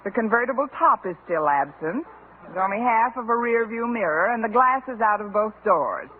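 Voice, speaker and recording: An actress playing a wry, wisecracking schoolteacher with dry, quick-witted delivery, in 1950s radio comedy style. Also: female voice, a little high, expressive, natural voice. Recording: noisy tinny old radio recording, studio audience.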